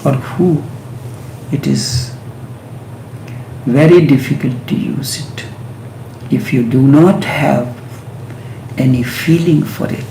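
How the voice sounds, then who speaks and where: A man whose first language is Hindi, giving a slow, meditative spiritual talk with long pauses and drawn-out chanted sounds. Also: mid-range voice, vocal fry, a little mellow, reverberant, slight background noise, rough recording. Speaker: spiritual teacher.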